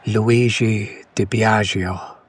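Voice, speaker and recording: A man playing a condescending, smitten kidnapper in an ASMR roleplay, speaking in a hushed, breathy, lingering way. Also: fairly low pitch, fairly even intonation, extremely slow, slight background noise. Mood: hushed, admiring. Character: condescending yandere male kidnapper, smitten with his captive. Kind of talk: whispered ASMR roleplay, slow soft recitation.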